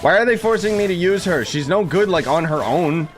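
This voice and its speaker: A man's voice: high-pitched, animated, brisk. A young man commenting on a video game as he plays, joking and sarcastic, often breaking into exclamations. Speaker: gaming streamer. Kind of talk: sarcastic let's-play commentary. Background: game music.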